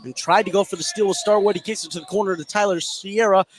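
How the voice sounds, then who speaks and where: A man's voice: high voice, steady tone, quick pace. A man calling live basketball play-by-play as the announcer. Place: indoor basketball gym, courtside. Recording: noisy gym ambience, bouncing basketball. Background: game noise, ball bouncing.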